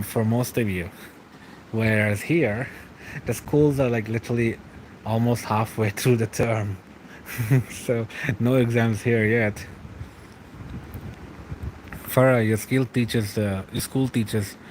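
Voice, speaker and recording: A young man talks to his viewers late at night in a loose, meandering way, chuckling between thoughts and letting sentences trail. His voice sits at an ordinary middle pitch. A faint background hiss runs under his home desk mic. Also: poor audio. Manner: relaxed and rambling, laughs often, trails off. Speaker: streamer reading live chat. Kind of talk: late-night casual chat.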